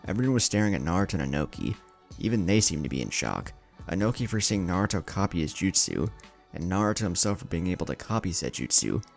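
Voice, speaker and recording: A man's voice: sits low, big pitch swings, fast talker, creaky, faint music behind. A man narrating an anime fan story in a dramatic scripted style.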